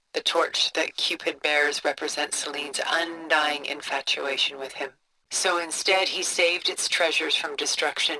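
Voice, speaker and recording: An actress playing a refined, cultured, coolly composed older woman in a video game, speaking in a poised, deliberate, articulate way. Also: sits low, bright, forceful tone, full character voice, poor audio. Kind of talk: scripted video game dialogue, art commentary.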